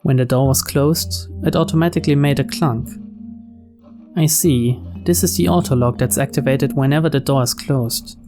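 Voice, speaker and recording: A man's voice: mid-range voice, normal speed, warm tone. A man reading a visual novel aloud as scripted story narration. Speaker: video game reader. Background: game background music.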